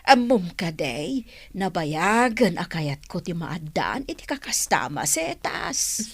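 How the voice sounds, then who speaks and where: An actress playing a frank, curious older auntie in a radio drama, expressive and gossipy. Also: female voice, lowish voice, takes it slowly, slightly rough, dry recording, full character voice.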